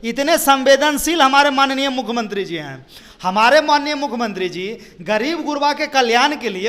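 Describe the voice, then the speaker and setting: A man with a Bihari accent speaks in a high-pitched voice as a political spokesperson. He argues his points in an interview style, repeating phrases for emphasis and throwing out rhetorical questions. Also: bright, forceful tone.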